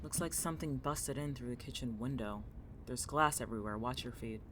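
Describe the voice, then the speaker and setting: A man acting as a young male student in an audio drama, anxious and guarded. He talks in blunt, dry, terse lines with an irritable but protective edge. Clear background noise sits behind his voice. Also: medium pitch, fairly quick, close to an everyday voice. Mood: wary, terse.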